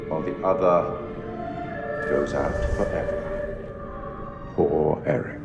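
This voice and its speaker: An actor playing an enigmatic, sardonic male curator in a video game, speaking formally and theatrically to the player as he judges their choices. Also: on the low side. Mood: grave, wry. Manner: measured, formal, wryly sardonic. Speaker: narrator addressing the player. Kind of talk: theatrical scripted game narration. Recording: long hall reverb, music underneath. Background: music.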